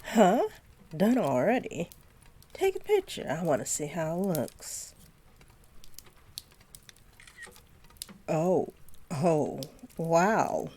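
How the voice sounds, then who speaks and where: A doting young centaur girlfriend, acted by a woman, speaks in a low, dark-toned voice at a slow, unhurried pace. She addresses her partner intimately, soft and affectionate with a gently teasing sweetness, as in a roleplay audio. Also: breathy edge, heavily acted. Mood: delighted, trusting.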